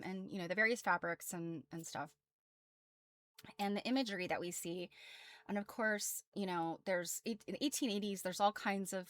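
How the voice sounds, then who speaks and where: A woman's voice: mid-range voice, bright, forceful tone. An American woman presenting quilt history casually as a host, chatty and self-deprecating, wandering off into asides.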